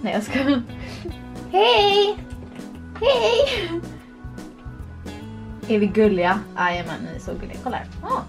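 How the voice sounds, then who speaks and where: A woman's voice: high-pitched, huge pitch swings, pauses often, over music. A young woman casually chatting while playing a horse game, playful and giggly, recorded at a desk webcam in a small room. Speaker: vlogger. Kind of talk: casual gameplay commentary.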